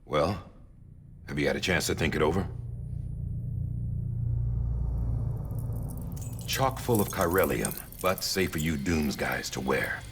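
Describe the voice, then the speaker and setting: An actor playing a grave, persuasive government official in a video game, speaking with earnest urging. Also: male voice, very deep voice, expressive, moderate pace, background noise. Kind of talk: cinematic video game acting.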